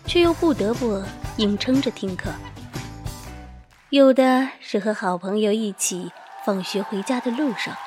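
A woman hosting a scripted radio show, mixing monologue with playful, teasing big-sister banter. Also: medium pitch. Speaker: online radio host. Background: music.

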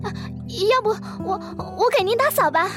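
A woman playing a timid, dejected young girl in a radio drama, soft and hesitant over music. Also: very high-pitched, expressive, normal speed. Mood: timid, apologetic. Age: young adult. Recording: guitar music behind the voice.

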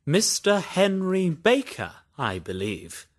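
An actor playing a brisk, eager detective in an audiobook, polite and chatty. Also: male voice, high-pitched, full character voice. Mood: courteous. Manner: lively, sing-song, polite and apologetic. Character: brisk, eager middle-aged male detective. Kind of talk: audiobook character reading.

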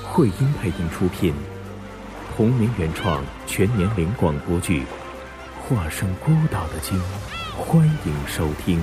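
A man's voice: sits low, expressive, a little slow. A man reading a scripted opening announcement for a radio drama. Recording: music under the voice.

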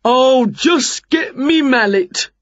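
A woman's voice: sits high, lively intonation, slow, dry recording, dull audio, pitched above the performer's own voice, exaggerated voice. A woman voicing a casual working man, a matey builder in a children's story, with colloquial, offhand talk. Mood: matter-of-fact.

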